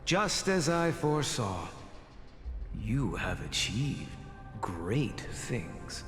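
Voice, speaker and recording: Stately sage mentor, echoing hall. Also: male voice, medium pitch, sing-song. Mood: solemn, fatherly. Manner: stately, formal, deliberate. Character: old male sage, measured, wry mentor. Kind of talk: dramatic scripted game dialogue. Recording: echoey long hall reverb, music behind.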